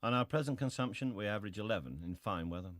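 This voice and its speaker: A ship's captain on the witness stand, with a low voice recorded dry. He is clipped, restrained and matter-of-fact, in the style of dramatized testimony. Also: expressive, fast, fairly bright tone, no echo. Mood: terse, restrained. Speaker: actor reading hearing testimony. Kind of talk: dramatized reading of historical testimony.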